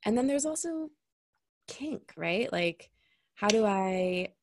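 A woman's voice: medium pitch, lively intonation, slow, slight background noise. A woman speaking as an intimacy coach guest in a casual podcast conversation, candid and reflective, swearing now and then.

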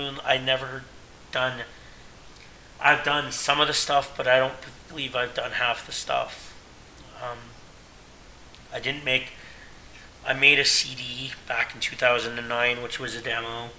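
A man's voice: medium pitch, sharp tone, a little hiss or hum, rough recording. A Canadian man in his late thirties, talking earnestly and at length in a rambling, self-correcting personal monologue as a podcast host. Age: 30s.

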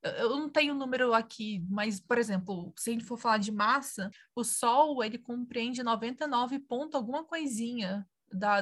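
Higher-voiced woman explaining astronomy. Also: fairly bright tone. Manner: explanatory, thinking aloud with restarts. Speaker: astronomer guest. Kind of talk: casual podcast interview.

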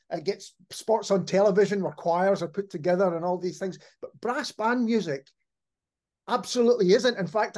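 High-pitched man hosting a podcast. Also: a little mellow. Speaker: podcast host. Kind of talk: conversational podcast interviewing.

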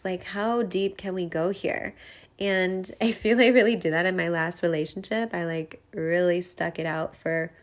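A young woman, Hungarian by first language, hosting a podcast, talking openly and tearfully about heartbreak and grief, laughing through tears and using many fillers. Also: fairly low pitch. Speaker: podcast host. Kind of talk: emotional personal monologue.

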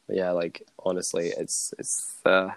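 A man's voice: low voice, vocal fry, no echo, poor audio. A man hosting a casual podcast chat with friends, rambling and laughing often. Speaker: podcast host.

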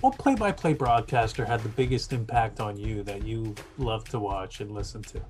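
A man's voice: fairly low pitch, animated, normal speed, darkish tone, faint music behind. A man hosting an interview, asking friendly, upbeat questions and praising a student. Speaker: interview host.

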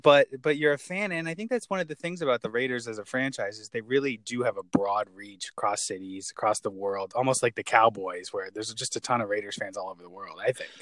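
A man's voice: lowish voice, brisk, hardly any pauses, a bit bright, no echo. A man hosting a casual podcast interview, playful and wisecracking. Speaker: podcast host.

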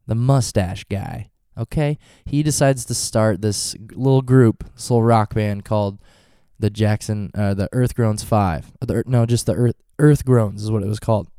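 A man's voice: on the low side, mellow, clear, resonant tone, dry recording, clean studio sound. A man in his twenties with a stuffy cold, chatting casually in a vlog and wandering off into goofy tangents. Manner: rambling, goofy tangents and jokes. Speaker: vlogger and touring band musician.

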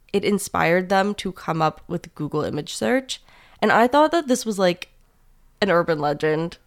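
Giggly young woman with a gravelly edge. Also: medium pitch. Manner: giggly, reads show intro plainly. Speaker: podcast co-host. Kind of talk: casual podcast chat.

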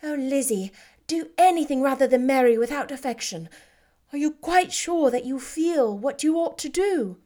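A woman voicing a gentle, sweet-natured young woman who speaks softly and earnestly. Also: high-pitched, lively intonation, normal speed, pitched above the performer's own voice.

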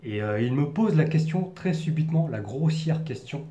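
A man's voice: on the high side, animated, normal speed, mellow. A young man from the Paris region telling a personal story to camera in an earnest, reflective way, searching for his words. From France, Paris region. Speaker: vlogger. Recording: echoey room.

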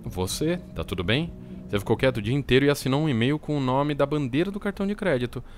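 Actor doing concerned mild brazilian office guy, talks fast, audio drama, bit of background noise. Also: mid-range voice, expressive, natural voice. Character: concerned, mild male office colleague, resigned. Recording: faint ambient background noise.

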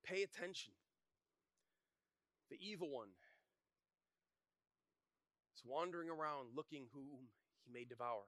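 A man preaching a sermon as pastor, telling stories in an animated way and speaking with earnest emphasis. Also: mid-range voice, unhurried, pauses often, no echo. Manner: animated and shouting in the story, then quiet and earnest. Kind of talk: storytelling sermon. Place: church.

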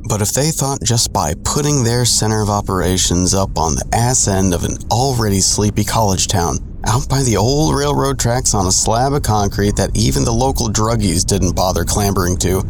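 A man's voice: on the low side, creaky, a little mellow, a little hiss or hum. A man playing a wry, self-deprecating storyteller in an audio drama, narrating his reckless youth with sardonic digressions. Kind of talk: scripted first-person audio drama narration.

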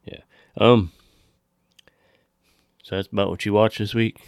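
A man's voice: fairly low pitch, fairly even intonation, measured pace, vocal fry, dry recording, studio quality. A man hosting a podcast in casual, informal chat, swearing freely. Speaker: podcast host.

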